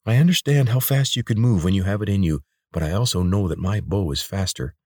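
A man's voice: low voice, fairly quick, darkish tone, dry recording, studio quality. A man voicing an angry, suspicious young woman guard who speaks sharply and accusingly. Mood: hostile.

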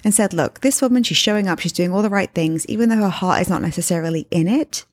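A woman's voice: mid-range voice, quick pace, no room sound. English woman with a hybrid Australian accent. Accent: English and Australian mix. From England. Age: 30s. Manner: warm, chatty, addresses listeners as friends. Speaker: podcast host and life coach. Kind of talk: casual storytelling monologue.

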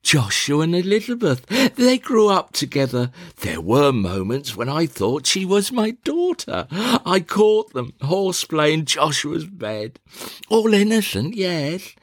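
A man voicing a fussy, fretful middle-aged mother in a one-man reading of a stage play, shrill and prone to outbursts. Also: wildly swooping pitch, measured pace, no room sound, studio quality, exaggerated voice. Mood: agitated.

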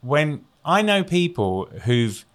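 A man's voice: on the high side, big pitch swings, takes it slowly, fairly bright tone. A man co-hosting a casual craft chat show, jokey and playful, laughing often as he talks. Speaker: co-host.

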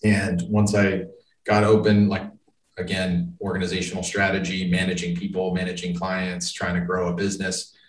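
A man's voice: low voice, monotone, normal speed. An American man from the Boston area answering interview questions in a conversational, explanatory manner. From suburban Boston, United States. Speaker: interview guest, business professional. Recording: echoey room, distant microphone.

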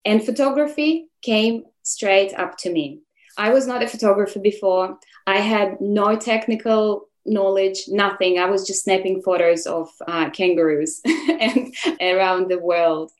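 A woman's voice: mid-range voice, a little slow. A woman from Russia with a Russian accent, telling her own story as a guest in an interview. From Moscow, Russia. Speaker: podcast guest, photographer. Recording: roomy, echoey sound.

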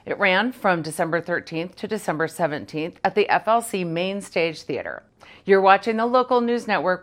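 A woman presenting a local news segment in a scripted, measured read. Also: on the low side.

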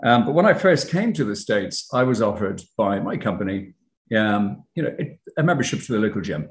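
A man's voice: on the low side, big pitch swings, a little fast. Irish guy chatting on a podcast, lots of ums, roomy echo on his voice. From Ireland. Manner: conversational, many ums and restarts. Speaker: guest, company founder. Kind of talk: casual interview talk.

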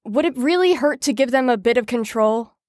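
An actress playing an earnest, dutiful female clinician in an audio drama, measured and clinical. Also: fairly high pitch, animated, a little mellow, dry recording, studio quality, lightly acted. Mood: earnest, persuading.

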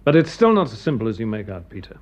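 An actor playing a sceptical, level-headed English gentleman in a radio drama, measured and well-spoken, with an English accent. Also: fairly low pitch, very animated. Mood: sceptical, objecting. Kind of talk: British radio drama acting. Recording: dull band-limited radio recording.

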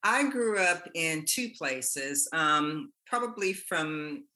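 A woman from Ohio, a guest and self-published author, talking in a soft, hesitant way with light laughs. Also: low-pitched, expressive, slow pace. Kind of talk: casual interview chat.

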